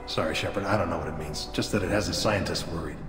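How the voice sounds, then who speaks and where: A man's voice: low voice, fast talker, close to an everyday voice. An actor playing a loyal, plain-spoken male alien soldier in a video game, talking casually and bluntly. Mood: wry, uneasy. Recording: echoey hall reverb, music behind.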